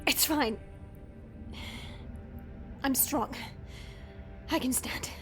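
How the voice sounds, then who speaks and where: A woman playing a stoic, proud young warrior princess in a fantasy audio drama, speaking with deliberate earnestness. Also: high voice, over music.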